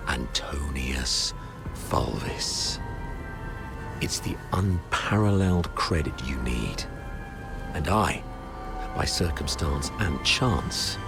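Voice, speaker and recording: Very deep, gravelly commander. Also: male voice, exaggerated voice. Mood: solemn, bargaining. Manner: deliberate, measured negotiator. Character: grave, composed male military commander bargaining for his people. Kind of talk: dramatic game cutscene acting.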